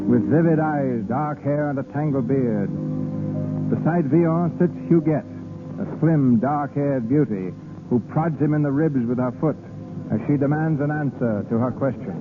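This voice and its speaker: A man's voice: mid-range voice, moderate pace. A man giving scripted dramatic narration as the narrator of a vintage radio drama. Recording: tinny old radio broadcast, loud music.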